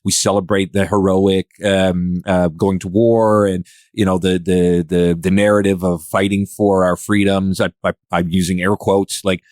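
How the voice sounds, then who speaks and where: A man's voice: deep voice, flat delivery, normal speed, few pauses, darkish tone, no echo, clean studio sound. A man hosting a casual discussion, thinking aloud in a hesitant, rambling way. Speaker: podcast host.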